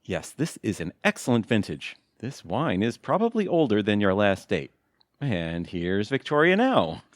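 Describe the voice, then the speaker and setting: A man's voice: on the low side, very animated, a little slow, dry recording, clean studio sound. A man playing a melodramatic, sentimental middle-aged family man in a comedic audio drama, with a theatrical, declamatory delivery. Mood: grateful, mellow.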